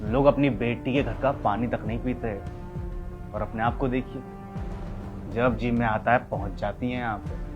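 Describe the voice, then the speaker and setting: A man's voice: medium pitch, steady tone, normal speed, lo-fi sound, lightly acted. A man playing an angry, domineering husband in a melodramatic family drama, speaking scornfully and insistently to his mother-in-law. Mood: outraged. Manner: scornful, confrontational, repeats demands insistently. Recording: dramatic music underneath.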